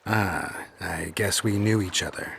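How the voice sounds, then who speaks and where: A man voicing a gruff, stoic monster hunter in a video game, terse and matter-of-fact, with a gravelly voice. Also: low-pitched, measured pace, fairly bright tone, exaggerated voice. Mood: dry, businesslike.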